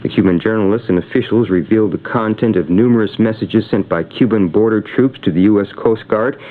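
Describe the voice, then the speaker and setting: Male radio newsreader, lowish pitch, formal and measured scripted delivery, heard through thin shortwave band. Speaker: radio news bulletin reader. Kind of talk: scripted news reading. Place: radio studio. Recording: narrow tinny shortwave radio broadcast.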